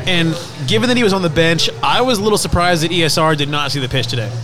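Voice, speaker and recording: A man's voice: fairly high pitch, quick pace. A man co-hosting a casual football podcast, talking hesitantly with many fillers before he makes his point. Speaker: podcast co-host. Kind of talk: casual sports chat.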